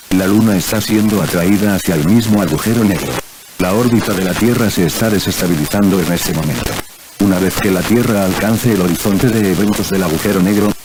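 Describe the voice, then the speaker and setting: A man reading a scripted emergency broadcast announcement in a formal, impersonal way. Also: deep voice. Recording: clear background noise.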